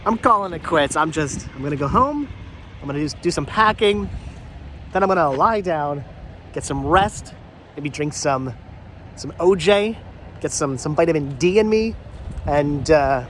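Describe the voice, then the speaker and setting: A man's voice: on the high side, fairly bright tone, slight background noise. A middle-aged man with a congested voice chats casually to viewers as a vlog host, rambling and repeating his phrases. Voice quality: congested with a head cold.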